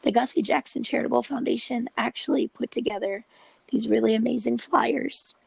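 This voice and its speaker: A host with a cold and a raspy, breathy voice, explaining a topic slowly and patiently in a casual vlog, often dropping into half-whispers. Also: mid-range voice, steady tone, no room sound, low-quality recording. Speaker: video host and patient support group leader.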